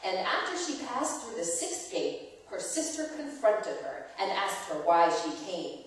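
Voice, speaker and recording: A woman telling a myth aloud in a dramatic reading, expressive and explanatory, as if to children. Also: medium pitch, unhurried. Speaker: storyteller. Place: church, performed live before listeners. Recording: very echoey church hall, distant microphone.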